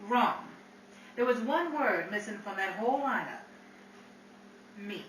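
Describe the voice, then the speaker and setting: A woman's voice: mid-range voice, expressive, a little slow, fairly bright tone. A woman playing a headstrong, sassy 1930s nightclub singer with slangy, cutting retorts, in old-time radio drama style. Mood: defiant, brassy. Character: brassy, headstrong 1930s nightclub singer, female, hard-bargaining. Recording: roomy, distant mic, hissy background.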